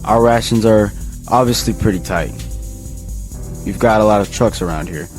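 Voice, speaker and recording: A man playing a steady, folksy leader of a survivor town in an audio drama. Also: lowish voice, moderate pace, faint music behind, lightly acted. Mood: measured.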